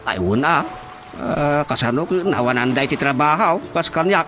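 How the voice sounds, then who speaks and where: A man's voice: on the high side, lively intonation, moderate pace, bright, forceful tone, soft background music. An actor with an Ilocano accent playing a friendly provincial man in a radio drama.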